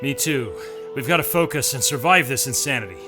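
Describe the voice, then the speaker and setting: An actor playing a resolute, resourceful mercenary on the run in an audio drama, speaking in terse, urgent commands. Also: male voice, medium pitch, expressive, brisk, over music, lightly acted. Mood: determined. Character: resolute, resourceful male mercenary hunted through a jungle.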